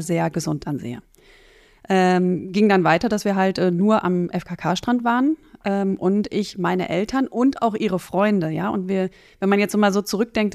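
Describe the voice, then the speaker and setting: A middle-aged woman with a medium-pitched voice speaks as a guest in a casual interview. She pauses and fills often as she thinks, and the sound is close and dry. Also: darkish tone, no echo. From North Rhine-Westphalia, Germany. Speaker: podcast guest, finance coach.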